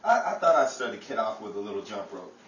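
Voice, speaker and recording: A man's voice: on the low side, big pitch swings, fast, fairly bright tone, lo-fi sound. A man playing an eager, bumbling character in a 1930s radio comedy, with genial patter and some stammering. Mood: befuddled. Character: eager, bumbling 1930s man, boxing trainer and quiz announcer. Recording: roomy, distant, faint background noise.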